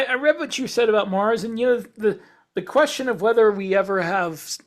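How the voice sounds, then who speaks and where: A man co-hosting a podcast, explaining ideas in casual discussion and often restating phrases in a halting way. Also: sits high. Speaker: podcast co-host.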